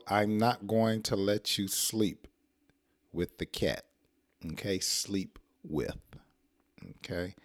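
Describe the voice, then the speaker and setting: Hoarse deep-voiced American man teaching. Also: a little mellow, dry recording, studio quality. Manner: playful, acts out short example sentences. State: hoarse, dry throat. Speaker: English teacher. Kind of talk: casual spoken English lesson.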